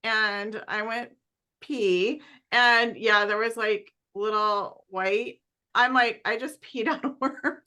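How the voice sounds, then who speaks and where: Slow, bright-voiced giggly woman. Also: a little high, steady tone. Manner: giggly, chatty storytelling. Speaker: podcast co-host. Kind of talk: casual podcast chat.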